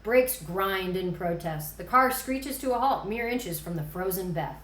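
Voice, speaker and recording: A woman's voice: mid-range voice, animated, unhurried, fairly bright tone. A woman reading scene directions as scripted narration at a screenplay table read. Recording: roomy echo.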